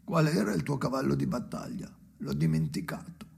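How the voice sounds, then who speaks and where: An actor playing a weary, brooding old man with a creaky, gravelly voice in an experimental radio play. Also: medium pitch, huge pitch swings, moderate pace, darkish tone, full character voice. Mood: weary, musing.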